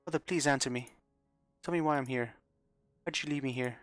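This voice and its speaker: A man's voice: mid-range voice, a little fast, dry recording, soft background music, close to an everyday voice. A man voicing a desperate, unhinged young woman who pleads and stammers through repeated restarts. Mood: anguished. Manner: pleading, sobbing, stumbling restarts.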